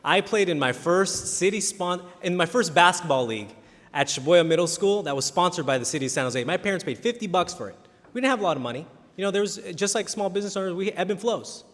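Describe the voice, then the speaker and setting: A man's voice: high voice, expressive, fast, fairly bright tone. Earnest man at a candidate forum. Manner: earnest, many restarts and repeated words. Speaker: city council candidate. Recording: reverberant hall.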